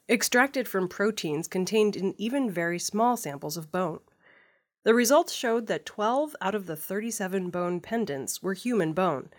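A woman news host reading scripted stories in a measured, formal manner. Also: lowish voice.

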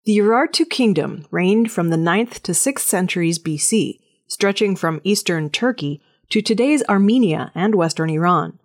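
A woman's voice: fairly low pitch, lively intonation, moderate pace. A woman working as a news reader, delivering scripted audio news narration.

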